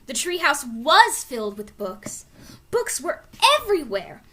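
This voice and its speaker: Female storybook reader: expressive narration, very lively pitch movement, bright tone. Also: a little slow.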